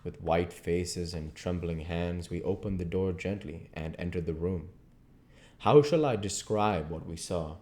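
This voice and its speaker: A man with a low-pitched voice reads a classic novel aloud. His delivery is measured and earnest, like an amateur audiobook narrator working carefully through formal prose. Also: darkish tone. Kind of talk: literary narration read aloud.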